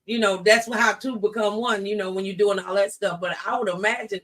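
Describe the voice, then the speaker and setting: A man's voice: sits high, steady tone, a little fast, a bit bright, distant mic. An American man hosting a talk show, interviewing guests in a casual, conversational way.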